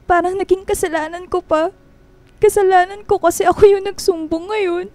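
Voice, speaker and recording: A woman's voice: very high-pitched, fairly even intonation, normal speed, a little mellow, no echo. A woman playing a young, vulnerable woman confiding in her friend in a Filipino radio drama. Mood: tearful, hurt.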